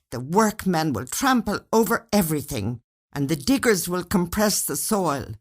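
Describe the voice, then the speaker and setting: A woman narrator voices a grumpy older husband from a storybook, a fussy gardener. The delivery is sarcastic and dismissive, with lively swings of pitch as the grumbles pile up. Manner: dismissive, complaining.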